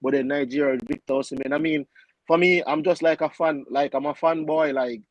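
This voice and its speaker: A man's voice: on the high side, no echo, highs cut off. A man co-hosting a football live stream, talking eagerly as a keen fan. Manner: excitable football fan, quick restarts. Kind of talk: casual chat on a live stream.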